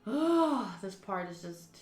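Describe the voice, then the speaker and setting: A woman streaming a visual novel playthrough, reading the text aloud and chatting with viewers in an expressive way. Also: medium pitch, slow, reverberant. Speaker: game streamer.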